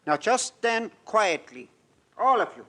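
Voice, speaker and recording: A villainous foreign doctor of aviation in a vintage radio drama. His voice sits high for a man. He lectures in formal, measured, self-important phrases. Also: very animated, a bit bright, slight background noise, exaggerated voice. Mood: cold, menacing. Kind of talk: old-time radio drama acting.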